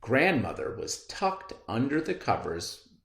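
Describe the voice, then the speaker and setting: A man's voice: medium pitch, very animated, unhurried, creaky, a bit bright. A man reading a children's story aloud as a storyteller, with theatrical, emphatic phrasing. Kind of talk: animated children's read-aloud. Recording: roomy, echoey indoor recording.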